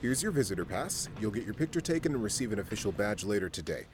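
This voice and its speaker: A man's voice: fairly low pitch, big pitch swings, fast, very noisy. A man playing a goofy, easygoing office security guard in an audio comedy. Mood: friendly. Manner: casual, easygoing, teasing. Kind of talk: audio fiction comedy acting.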